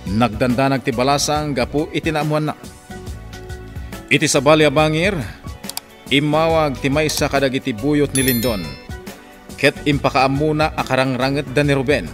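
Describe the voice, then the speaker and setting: A man's voice: medium pitch, fairly even intonation, moderate pace, pauses often, fairly bright tone. Man, dramatic radio drama narration, mid-range voice, scripted delivery, faint music bed.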